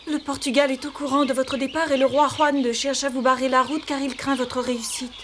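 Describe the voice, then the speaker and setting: A woman's voice: high voice, level tone, a little fast, fairly bright tone, a little hiss or hum. A woman playing a gracious, concerned noblewoman in a cartoon, speaking formally and courteously. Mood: confiding, concerned. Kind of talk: French animated series dubbing.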